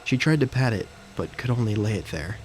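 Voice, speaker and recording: Low-voiced man narrating a dramatic audio story. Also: expressive, a little fast, rough recording. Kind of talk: scripted first-person dramatic narration. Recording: faint background noise and ambience.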